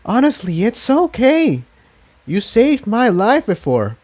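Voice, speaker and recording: A man voicing a gentle, giggly young female pony in a storybook reading, earnest and reassuring. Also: high voice, huge pitch swings, takes it slowly, pitched above the performer's own voice, heavily acted.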